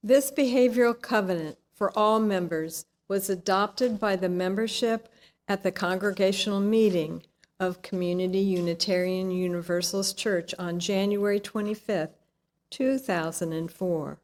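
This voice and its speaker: A woman's voice: medium pitch, normal speed, darkish tone. A woman from a congregation reading a written statement aloud in a formal style.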